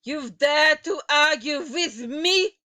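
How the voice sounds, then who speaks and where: A woman plays a tyrannical witch ruler from a children's storybook, furious and menacing. The voice is high and piercing, stretching out each word with imperious, shrieking emphasis. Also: lively intonation, slow pace, sharp tone, heavily acted. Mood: outraged. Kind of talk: dramatic storybook character reading.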